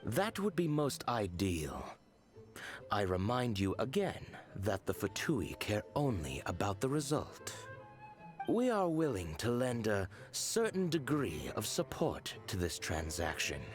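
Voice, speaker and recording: An actor voicing a cold, menacing male agent of a sinister order in a video game, speaking in a measured, quietly threatening way. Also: lowish voice, huge pitch swings, faint music behind.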